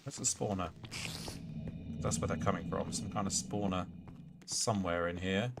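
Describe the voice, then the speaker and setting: A man hosting a gaming livestream, hoarse and clearing his throat, casually muttering to himself and chatting to game monsters. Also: low-pitched, normal speed, over loud music. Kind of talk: casual live gameplay commentary.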